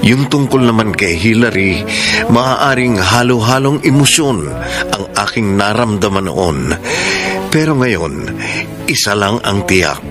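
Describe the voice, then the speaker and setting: Remorseful Filipino husband, widely swooping voice. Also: male voice, lowish voice, lively intonation, takes it slowly. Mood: sorrowful, resolved. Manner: earnest, emotional, pleading delivery. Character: remorseful, lovelorn husband begging his wife to stay. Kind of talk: Filipino radio drama acting. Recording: music under the voice.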